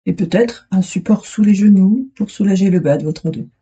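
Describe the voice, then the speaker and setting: Casting: female meditation guide, very dark, soft-edged husky tone, soothing delivery with long pauses, slightly muffled recording. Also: mid-range voice. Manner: soothing, unhurried, short phrases with long pauses. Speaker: yoga nidra instructor. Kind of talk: guided meditation, relaxation instructions.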